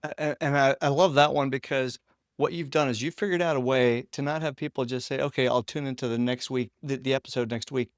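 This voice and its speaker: A man's voice: medium pitch, steady tone, a little fast, smooth, no echo, studio quality. A man hosting a conversational podcast interview, asking questions and commenting informally on the answers.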